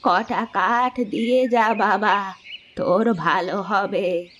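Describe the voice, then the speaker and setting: Woman acting a slow, pleading old woman. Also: mid-range voice, steady tone, fairly bright tone. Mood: coaxing. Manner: slow, beseeching, wheedling. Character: pleading frail old forest woman begging for firewood. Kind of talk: Bengali children's cartoon dubbing. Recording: music behind the voice.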